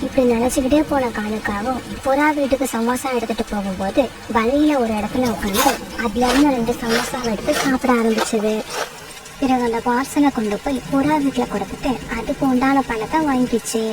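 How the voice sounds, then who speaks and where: A woman reading a scripted children's story as its narrator. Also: fairly high pitch. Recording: distant mic, clear background noise.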